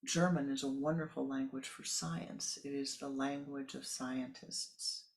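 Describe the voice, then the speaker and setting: A man's voice: medium pitch, fairly even intonation, measured pace, gravelly. A man giving a spiritual talk, musing aloud and repeating words for emphasis. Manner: emphatic repetition, musing asides. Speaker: spiritual teacher. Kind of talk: sermon.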